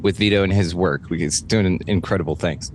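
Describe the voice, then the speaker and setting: A man's voice: low-pitched, brisk, a little hiss or hum. A man hosting a casual online group chat in an encouraging, informal, slangy manner. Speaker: host of an online group show.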